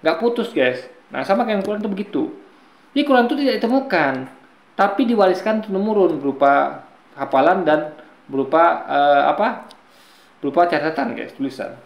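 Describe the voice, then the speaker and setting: A man's voice: fairly high pitch, creaky, reverberant. A man giving argumentative commentary on a video, lecturing his viewers and often calling them guys.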